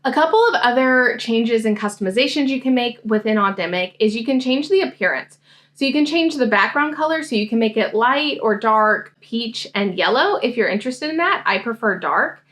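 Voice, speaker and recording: Woman, slightly high voice, explains software step by step for a tutorial. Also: expressive, moderate pace. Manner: friendly, explanatory screen-demo talk. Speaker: science grad school coach.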